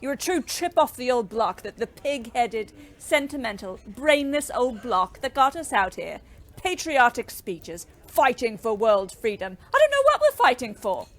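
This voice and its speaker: An embittered young ambulance driver with a fairly high voice. She is outspoken and scathing, hammering her points home in a wartime audio drama. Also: sing-song, measured pace, a bit bright. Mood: furious, contemptuous. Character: fiery, bitter young British woman ambulance driver.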